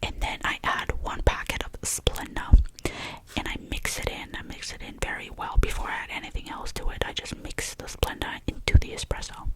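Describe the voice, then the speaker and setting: A woman, whispering softly through a chatty, rambling ASMR talk about her favourite things. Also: normal speed, rough recording. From Albania. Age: 20s. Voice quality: soft unvoiced whisper. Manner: rambling and chatty, with many fillers. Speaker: ASMR creator.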